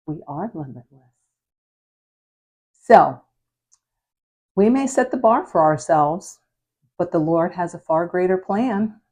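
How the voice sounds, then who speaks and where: Hoarse woman preacher, low mellow voice, unhurried earnest delivery, raspy from recent illness. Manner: slow, earnest, drops softly at phrase ends; prayerful, reflective. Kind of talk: devotional message.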